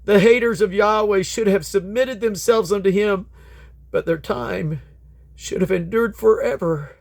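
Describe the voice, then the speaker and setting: A man with a slightly high voice reads scripture aloud as a Bible reader. His delivery is solemn and declamatory, and his phrases tend to drop at their endings. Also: measured pace, creaky, a little mellow.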